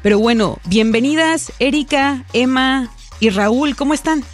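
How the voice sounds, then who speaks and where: A woman hosting a radio talk show, chatting warmly with guests and mixing Spanish with English. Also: sits high, unhurried, soft background music. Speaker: radio host.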